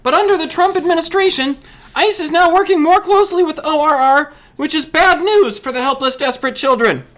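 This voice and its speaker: Woman putting on an earnest, very high-pitched expert. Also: fairly quick, fairly bright tone. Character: earnest, indignant female expert in a forced high voice. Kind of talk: scripted comedy explainer.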